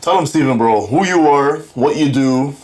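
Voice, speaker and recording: A man's voice: medium pitch, lively intonation, normal speed, a little mellow, rough recording. A man hosts a casual podcast interview with a loose, slangy, joking way of talking. He keeps the chat easygoing and teasing. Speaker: podcast host.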